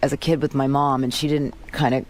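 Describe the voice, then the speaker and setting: A woman's voice: rumbling low, brisk. A middle-aged woman talking frankly as a songwriter guest on a radio show. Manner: frank, animated storytelling. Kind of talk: radio interview.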